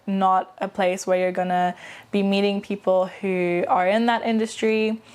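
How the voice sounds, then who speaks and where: A woman's voice: mid-range voice, clear, resonant tone. A woman in her late twenties from South Africa, whose South African accent carries British and American traces, talking candidly in a casual personal vlog with frequent fillers. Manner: chatty and candid, with frequent fillers and self-corrections. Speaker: vlogger and aspiring novelist.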